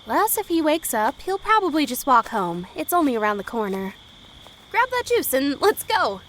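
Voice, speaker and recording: A woman acting a brave, polite but defiant high school girl in a period audio drama, with folksy speech. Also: sing-song, moderate pace, fairly bright tone, slight background noise, rough recording. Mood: teasing, playful. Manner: folksy dialect grammar, plucky. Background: crickets and night insects.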